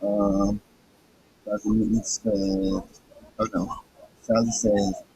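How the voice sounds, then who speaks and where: A hoarse, raspy man reads aloud and talks casually, stopping and restarting often. His low voice is heavy with fry, and his pitch swings widely between phrases. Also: sing-song, warm tone, slight background noise, poor audio. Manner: halting, stumbles over words, frequent restarts. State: hoarse, throat hurting. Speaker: host reading a book aloud. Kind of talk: casual reading with commentary.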